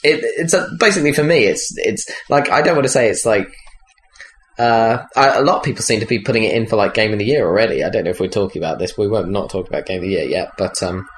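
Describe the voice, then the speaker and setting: Low-voiced rambling man, noisy recording. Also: fast. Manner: rambling, hesitant, sweary. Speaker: podcast host. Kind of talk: casual chat about video games. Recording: clearly noisy background.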